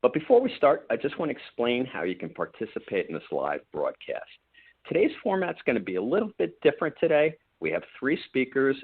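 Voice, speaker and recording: Husky man moderating over a phone line. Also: lowish voice, no room sound. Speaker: webinar moderator. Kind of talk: scripted event hosting. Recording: dry tinny phone-like line.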